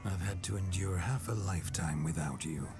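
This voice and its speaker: An actor playing a gruff, flirtatious man possessed by a noble ghost, with a deep voice that drops near a whisper. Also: close to an everyday voice. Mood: flirting. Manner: slow, wry, seductive drawl. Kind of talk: video game cutscene voice acting. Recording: game soundtrack music behind.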